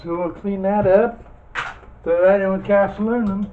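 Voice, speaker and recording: A man's voice: sits high, steady tone, moderate pace. A plainspoken, folksy man talking casually through a hands-on scrapping tutorial, muttering to himself as he works. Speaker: scrap metal hobbyist demonstrating teardown. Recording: muffled phone-like audio, loud background noise.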